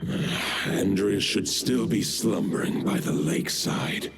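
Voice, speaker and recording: An actor playing an ancient, solemn wolf spirit in a video game, speaking in formal, archaic phrases with grave resignation. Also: male voice, music in the background. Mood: grave, foreboding. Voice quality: deep, gravelly. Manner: formal, archaic, measured speech.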